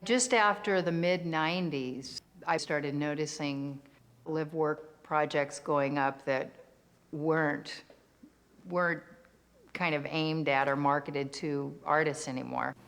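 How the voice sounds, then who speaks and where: A woman artist speaking thoughtfully, and a little haltingly, in a documentary interview. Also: deep voice, fairly even intonation. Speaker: artist and resident.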